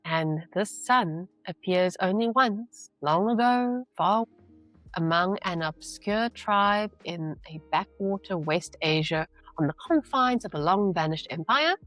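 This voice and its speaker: A woman teacher reading a novel aloud with deliberate, emphatic phrasing and brief explanations. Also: fairly low pitch, lively intonation, a bit bright, faint music behind.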